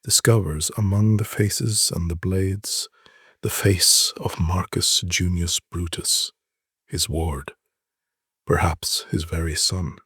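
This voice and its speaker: Male narrator, low and very husky, solemn and deliberate literary storytelling, dry close sound. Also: fairly even intonation, fairly bright tone, dry recording. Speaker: narrator reading short stories. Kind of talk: dramatic literary reading.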